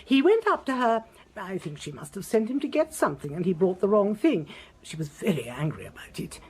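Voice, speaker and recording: Imperious lady, husky and animated. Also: mid-range voice. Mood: brisk, disapproving. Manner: brisk, haughty, precise. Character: imperious, fussy titled English lady witness. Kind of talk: radio drama acting.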